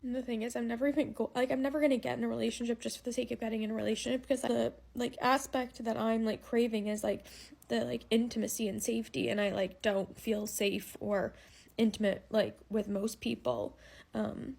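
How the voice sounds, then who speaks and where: A woman speaks softly and hesitantly in a confessional vlog, filling pauses often. Her slightly high voice is thick with vocal fry. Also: flat delivery. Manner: soft, hesitant, full of fillers.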